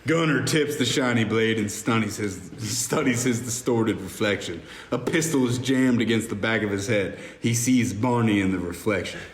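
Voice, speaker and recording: A man's voice: on the low side, normal speed, hardly any pauses. A man narrating stage directions from a screenplay in a dramatic way at an amateur table read. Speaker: podcast member reading stage directions. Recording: echoey hall, faint noise.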